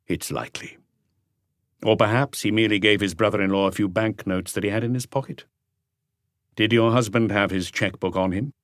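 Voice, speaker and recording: A male reader acts a middle-aged police inspector from a mystery audiobook. He is low in pitch, patient and probing, asking things in a calm, restrained way, recorded dry and close. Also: no room sound, close to an everyday voice. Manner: measured, restrained questioning.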